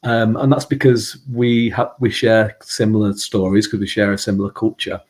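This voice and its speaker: Host, male, strong Mancunian accent, lowish voice, loose rambling delivery with fillers. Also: flat delivery, moderate pace. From England and Ireland. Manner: rambling, many fillers and restarts. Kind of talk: casual live-stream chat.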